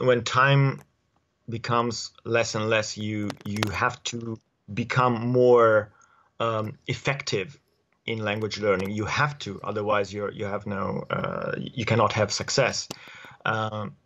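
A man in his forties with an Italian accent, answering interview questions at home in a halting way with many fillers and restarts, speaking on a video call through an earphone mic. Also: on the low side, fairly bright tone. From Italy. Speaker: guest. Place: home study with bookshelves. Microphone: earphone headset mic on video call. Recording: dull lo-fi video call audio.

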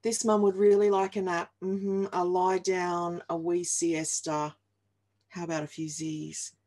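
Slow-paced Australian woman reading aloud. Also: on the low side, flat delivery, a little mellow. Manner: deliberate, wry, playful. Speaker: reader of a humorous picture book. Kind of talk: expressive read-aloud of comic rhyming verse. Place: small home office, indoors.

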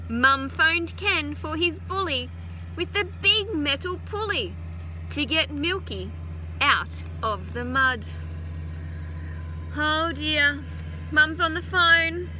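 An Australian woman in her thirties, a teacher gently reading a picture book aloud to children outdoors on a farm, adding chatty asides. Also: very high voice, a little hiss or hum. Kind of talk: children's story time read-aloud. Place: outdoors on a farm beside a utility vehicle. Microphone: phone camera held close.

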